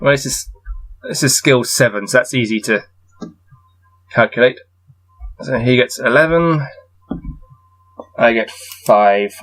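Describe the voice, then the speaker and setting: A man reading a gamebook aloud and adding low-key, wry muttered commentary as he plays. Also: takes it slowly, pauses often, fairly bright tone, soft background music, poor audio. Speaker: solo gamebook player and reader.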